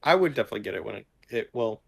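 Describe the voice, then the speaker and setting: Low, gravelly man chatting casually. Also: lively intonation, racing, a bit bright. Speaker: podcast panelist. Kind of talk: casual chat.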